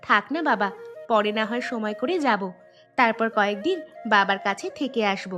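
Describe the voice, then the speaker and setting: A woman's voice: mid-range voice, normal speed, fairly bright tone, soft background music, close to an everyday voice. A woman playing a dutiful, affectionate young village daughter-in-law in an audio drama. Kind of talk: Bengali audio story drama acting.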